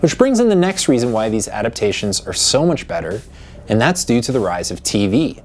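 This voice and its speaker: A man narrates a scripted video essay. His mid-pitched voice moves up and down in a very animated way, with music playing underneath. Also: a little fast.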